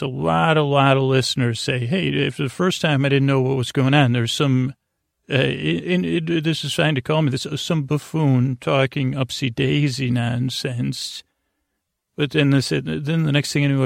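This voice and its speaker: Man, bedtime podcast host, creaky voice, flat intonation, meandering, halting pauses, sleepy-time rambling. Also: medium pitch, no echo, studio quality. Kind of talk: rambling bedtime monologue to help listeners sleep.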